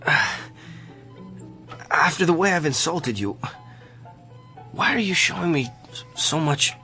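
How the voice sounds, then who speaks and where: A man's voice: a little high, animated, measured pace, gravelly, bright, forceful tone, soft background music, heavily acted. An actor playing a proud male celebrity doctor in a dramatic radio play. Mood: shaken, pained. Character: arrogant celebrity doctor brought low by illness.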